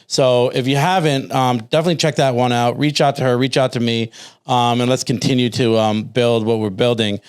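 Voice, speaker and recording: A man hosting a podcast in a studio, talking casually and effusively with informal, halting phrasing. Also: mid-range voice, steady tone, smooth. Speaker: podcast host.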